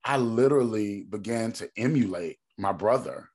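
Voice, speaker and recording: A man in his thirties from Texas with a deep voice, a podcast guest telling his story in a reflective way and thinking aloud with many ums. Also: normal speed, darkish tone, husky.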